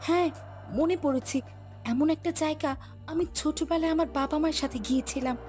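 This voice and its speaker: A woman's voice: sits high, a little fast, a little mellow, lightly acted. A woman playing an anxious but determined young winged fairy princess in a cartoon. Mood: puzzled, fearful. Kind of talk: dramatic animated fairy tale acting.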